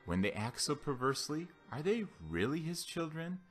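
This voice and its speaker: A man giving a solemn scripture reading as a Bible reader, with deliberate, declamatory phrasing. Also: on the high side, very animated. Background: background music.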